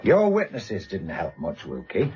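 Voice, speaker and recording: A senior British police inspector in a radio drama, deep-toned and sardonic. His blunt, probing questions rise and dip dramatically. The recording is somewhat muffled. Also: huge pitch swings, a little slow, warm tone, faint music behind, full character voice. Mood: exasperated. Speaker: police inspector questioning suspects and directing subordinates.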